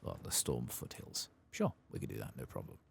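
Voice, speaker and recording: A man doing soft-spoken, casual playthrough commentary as an ASMR gaming streamer, reading aloud and muttering asides. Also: extremely low pitch, huge pitch swings, a little fast, vocal fry, breathy edge.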